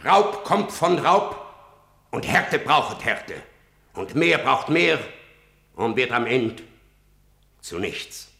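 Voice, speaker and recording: A gravelly old blind seer. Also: male voice, medium pitch, very animated, very slow, long pauses, bright, forceful tone, echoey room, full character voice. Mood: oracular. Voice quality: gravelly, solemn declamation. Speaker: actor in radio drama. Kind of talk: stage verse drama.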